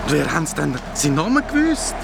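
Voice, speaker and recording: Radio play boy, Swiss German, impulsive and anxious, very animated melody, noisy background. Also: fairly high pitch, fast, vocal fry. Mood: doubtful. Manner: urgent, questioning, exclamatory. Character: Klöpf, worried, impulsive young boy adventurer. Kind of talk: children's radio play acting.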